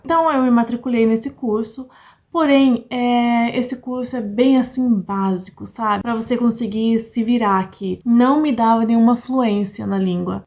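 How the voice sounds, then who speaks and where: A woman's voice: mid-range voice, darkish tone. A middle-aged woman from Brazil with a Brazilian Portuguese accent, talking casually to her viewers in a vlog and laughing often. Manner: chatty, laughs often, keeps addressing viewers. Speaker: vlogger. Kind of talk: casual chat to camera.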